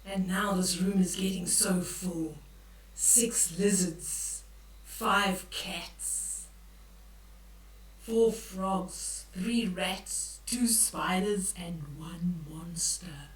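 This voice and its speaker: Very slow-paced older woman in echoey room. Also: on the low side. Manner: expressive, drawn-out emphasis, addressing children. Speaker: children's storytime host. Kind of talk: read-aloud bedtime story with counting and questions to young children. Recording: echoey room, distant from the mic.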